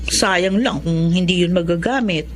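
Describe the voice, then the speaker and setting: A woman playing a supportive, sensible young older sister in a radio drama, calm and persuasive with a Filipino accent. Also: on the low side, a little slow, close to an everyday voice.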